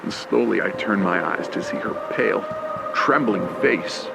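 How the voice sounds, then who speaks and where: A man's voice: fairly low pitch, moderate pace, creaky, heavy background noise. A man narrating a horror story in the first person, tense and suspenseful, in an old radio play style. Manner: tense, suspenseful, short clipped phrases. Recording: loud rain, storm and engine effects. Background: rain, thunder and vehicle sound effects.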